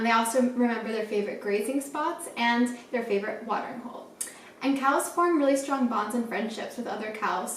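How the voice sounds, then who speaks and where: A young woman with a slightly high voice shares information informally as a cooking show co-host. The sound is echoey and a little distant, as in a home kitchen. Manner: chatty, informative. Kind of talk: informal explanatory presentation. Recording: echoey kitchen, distant mic, faint noise.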